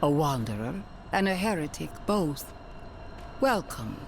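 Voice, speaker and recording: A woman's voice: low-pitched, big pitch swings, measured pace, noisy background, heavily acted. A woman playing a stern, older leader of a zealous church in a fantasy game, speaking formally and solemnly. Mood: cold, imperious. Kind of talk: dramatic fantasy game cutscene acting. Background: music.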